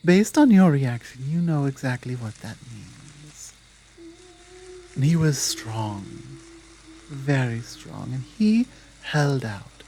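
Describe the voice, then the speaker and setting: A woman playing a stern, principled female werewolf pack leader in an ASMR audio drama, protective and dominant, speaking in a measured, deliberate way. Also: rumbling low, dark tone, a little hiss or hum, poor audio. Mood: somber, reflective. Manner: measured, firm, deliberate, with pauses.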